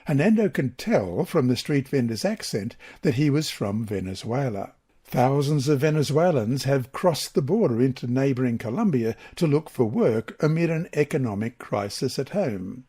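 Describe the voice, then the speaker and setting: A man reading a mission story aloud as scripted narration, in a slow, measured way. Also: mid-range voice, expressive, dark tone, breathy edge.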